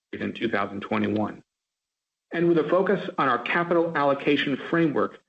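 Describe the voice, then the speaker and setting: A man speaking as a company chief executive, giving a formal, measured, prepared earnings call presentation over conference call audio. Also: mid-range voice, vocal fry, lo-fi sound.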